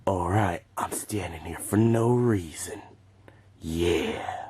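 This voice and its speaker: A man's voice: deep voice, slow, darkish tone, slightly rough, exaggerated voice. A man performing an amateur comedic character skit in a theatrical, exclamatory manner.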